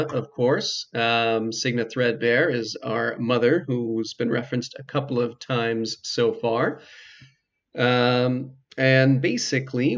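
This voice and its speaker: A man giving casual, explanatory let's play commentary, with hesitant pauses as he goes. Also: medium pitch, dull audio. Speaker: video game player and commentator.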